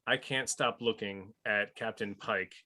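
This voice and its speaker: A man's voice: lowish voice, steady tone, unhurried, a crowd in the background. A man co-hosting a podcast, talking casually among a group. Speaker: podcast co-host.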